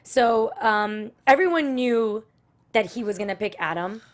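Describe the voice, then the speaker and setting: A woman's voice: fairly high pitch, expressive, a little slow. A woman hosting a casual talk show, chatty and rambling as she leads each segment. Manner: chatty, rambling, many fillers. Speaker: host. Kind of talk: casual chat, leading the segments.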